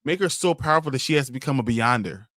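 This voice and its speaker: A man with a mid-pitched voice talks casually as a panelist on a pop-culture show. He jumps in with animated remarks and repeats himself for emphasis. The recording is close and dry. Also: quick pace, dry recording. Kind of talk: casual fan chat.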